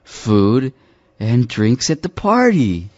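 Animated man reading a lesson dialogue. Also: medium pitch, slow pace, highs cut off. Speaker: dialogue reader. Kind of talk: scripted learner dialogue.

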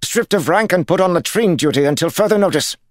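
A man performs a half-mad noble lord commanding a war camp. He snaps and sputters imperiously, the pitch pushed well up and the words forceful and loud. It is fantasy audiobook drama. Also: expressive, quick pace, dry recording, clean studio sound. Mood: enraged, threatening.

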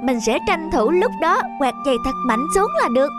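A very high, swooping little boy's voice for a cartoon. He is a scheming, petulant schoolboy with exaggerated, expressive delivery. Loud music plays behind him. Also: fairly quick. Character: young schoolboy, scheming, petulant, easily delighted. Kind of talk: cartoon dubbing.

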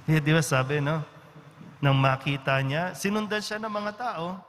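Filipino priest in an echoing church. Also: male voice, on the high side, steady tone, moderate pace, fairly bright tone. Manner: conversational, with frequent tag questions. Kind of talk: Sunday-style homily. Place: large church. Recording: church reverb with faint background noise.

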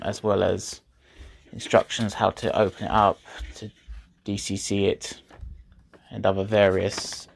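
A man's voice: lowish voice, gravelly, slight background noise. A man who is not a native English speaker, chatting casually while unboxing a model train, rambling and correcting himself.

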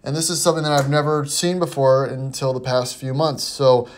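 A man's voice: medium pitch, flat delivery, fairly quick. A man hosting a car review video, talking casually and off the cuff as he thinks aloud. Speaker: host of a car auction review channel. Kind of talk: casual unscripted commentary.

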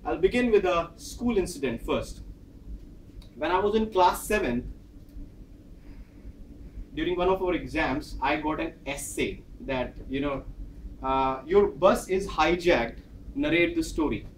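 A man in his thirties with an Indian English accent, a Hindi speaker, giving an animated prepared speech and acting out dialogue while pacing a large office training room. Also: fairly high pitch, a little slow, long pauses, slight background noise. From northern India. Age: 30s. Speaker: speaker at a club meeting. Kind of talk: prepared personal speech with storytelling. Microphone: far camera. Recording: echoey room, far from the mic.

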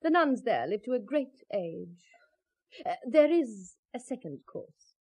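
High-voiced, haughty medieval lady. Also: sing-song, a little slow, darkish tone, slightly rough, exaggerated voice. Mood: gleeful mockery. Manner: sneering, imperious. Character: haughty, mocking, cruel medieval lady of the manor. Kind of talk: 1970s radio drama acting.

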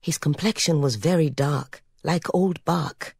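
Husky, low-pitched woman narrating a novel. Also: measured pace, darkish tone, no echo, clean studio sound. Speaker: audiobook narrator. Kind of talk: scripted literary narration.

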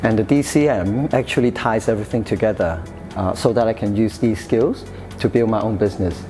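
A man's voice: on the low side, a little fast, music in the background. A man in his thirties from Hong Kong, raised in the UK, answering interview questions about his studies in a hesitant way, with frequent fillers and restarts. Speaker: interview guest, chef. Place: café-style room with sofa, indoors.

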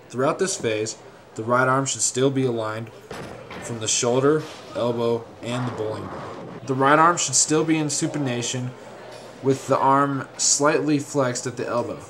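Man narrating a lesson over clear noise. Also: medium pitch. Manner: measured, formal, step-by-step. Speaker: instructor, narrator. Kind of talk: scripted instructional narration.

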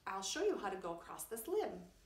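A woman's voice: medium pitch, sing-song, fairly quick, close to an everyday voice. A woman voicing an eager, bumbling father quail in a storybook, with bold, encouraging calls. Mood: eager leading. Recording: roomy, distant camera microphone.

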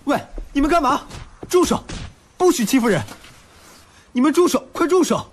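An actor playing a righteous, kind young male university student in a radio drama, with a husky voice. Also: very high voice, expressive, normal speed, slight background noise. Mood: indignant. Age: young adult. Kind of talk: Mandarin radio drama acting.

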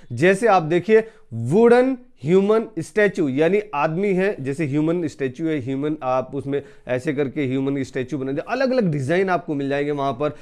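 Business trainer, male, 30s, from India; emphatic, hesitant, lapel mic to camera. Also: fairly high pitch. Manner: emphatic, swinging from soft to loud, frequent hesitations. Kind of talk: educational talk to camera. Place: indoor studio with green screen.

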